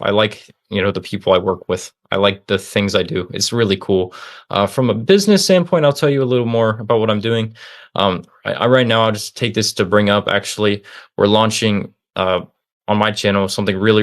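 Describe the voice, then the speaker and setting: A young man of about twenty speaks as a guest in a relaxed chat. He rambles cheerfully, stacking fillers and restarting his sentences. His voice sits a little low. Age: young adult, about 20. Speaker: interview guest, student and online seller. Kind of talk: casual interview conversation.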